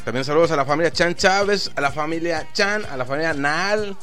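A Mexican man hosting a live online radio show, rambling through shout-outs and jokes in a chatty, loose way. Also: on the high side, a little fast. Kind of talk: casual live radio chat with greetings. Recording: faint music in the background.

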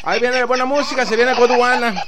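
A Mexican man hosting a live online radio show, rambling through shout-outs and jokes in a chatty, loose way. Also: very high voice, steady tone, brisk, crowd noise. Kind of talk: casual live radio chat with greetings. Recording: faint music in the background.

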